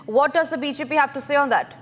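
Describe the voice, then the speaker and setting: A woman's voice: high-pitched, quick pace. A woman with an Indian English accent anchoring live breaking news in an urgent, repetitive way.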